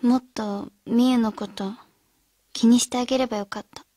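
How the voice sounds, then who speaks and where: A woman's voice: fairly high pitch, steady tone, moderate pace, dark tone, dry recording, studio quality, lightly acted. A woman acting as an earnest teenage schoolgirl who leads her club friends in a radio drama. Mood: regretful.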